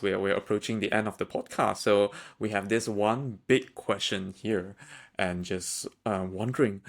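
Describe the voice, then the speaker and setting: A young man hosting a podcast, speaking in a halting, friendly way with many repeated words. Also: fairly low pitch. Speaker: podcast host. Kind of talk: casual conversational interview.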